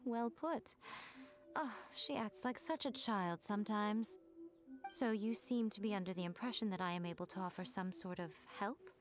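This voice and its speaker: An actress playing a sly, teasing woman of high rank, languid and knowing as she speaks to a younger companion. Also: moderate pace, soft background music, close to an everyday voice. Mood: amused. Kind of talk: video game voice acting.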